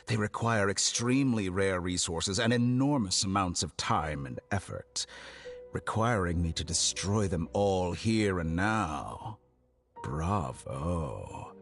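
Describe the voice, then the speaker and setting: A man's voice: sits low, heavily acted. A man playing an arrogant, calculating villain scholar in a video game, speaking in a formal, theatrical, condescending manner.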